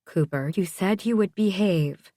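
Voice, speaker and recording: A woman voicing an affectionate, teasing mother with a lilting, gently chiding manner, in an audiobook. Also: mid-range voice, unhurried, no room sound, studio quality, voice pushed up, close to an everyday voice. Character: bright, affectionate, teasing mother of grown daughter.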